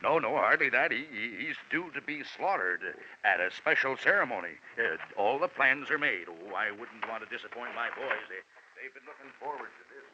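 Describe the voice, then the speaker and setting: A muffled, faintly hissy old radio recording of a fussy businessman. His voice is very sharp and forceful, and he stammers and repeats himself with genial callousness, in radio play style. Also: medium pitch, animated, normal speed. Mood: sheepish, genial. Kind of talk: 1940s radio acting. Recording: dull, faintly noisy vintage radio recording.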